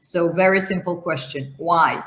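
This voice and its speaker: A woman's voice: fairly low pitch, a bit bright. Woman interview host in an echoey room. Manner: halting delivery with frequent hesitant fillers. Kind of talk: hosted online interview, semi-formal. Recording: roomy, echoing sound.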